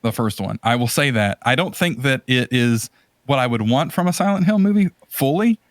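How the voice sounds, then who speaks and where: A man with a mid-pitched voice talks as a podcast host, recorded dry with almost no room sound. He gives casual, opinionated commentary on films, rambling and circling back on phrases as he drives each point home emphatically. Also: expressive, moderate pace, no echo.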